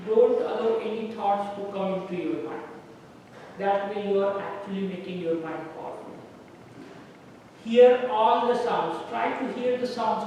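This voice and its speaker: A man giving a prepared speech at a club meeting, soft-spoken and flat, with little inflection. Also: sits high, a little hiss or hum. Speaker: club speaker. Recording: echoey hall from far off.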